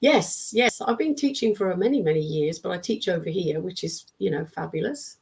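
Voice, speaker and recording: A middle-aged woman from the UK, a spiritualist medium and teacher, chatting in an interview with a croaky, worn-out voice and acting out little quoted exchanges as she tells her stories. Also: on the low side, expressive, normal speed. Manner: warm storyteller who acts out quotes of students. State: strained, worn-out throat. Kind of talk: casual interview chat.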